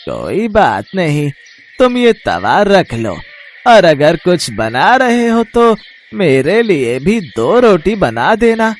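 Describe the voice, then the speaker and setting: A man's voice: sits high, sing-song, slow pace, slight background noise, voice pushed up, heavily acted. Man putting on a kindly old peddler. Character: old male pot peddler, kindly and humble. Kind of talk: animated storybook character acting.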